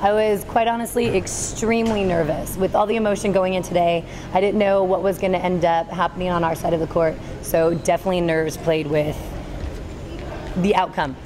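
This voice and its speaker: Low-voiced woman coach, earnest, noisy school gym, camera mic. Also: fairly even intonation, a little fast. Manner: earnest, talks through her thoughts with restarts. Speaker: girls volleyball coach. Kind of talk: postgame interview. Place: high school gym, indoors.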